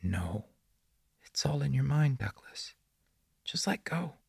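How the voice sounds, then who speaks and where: A man voicing a creepy, sinister young boy of the woods in a breathy, coaxing whisper for an audiobook horror story. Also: mid-range voice, very animated, slow pace, no room sound, clean studio sound, pitched above the performer's own voice, full character voice. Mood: soft coaxing.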